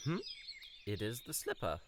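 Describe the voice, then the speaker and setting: A man's voice: fairly low pitch, big pitch swings, moderate pace, slight background noise. A man playing a pompous, lilting fairytale prince. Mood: cheerful, lovestruck. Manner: pompous, flowery old-fashioned formal speech. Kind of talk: audio drama acting.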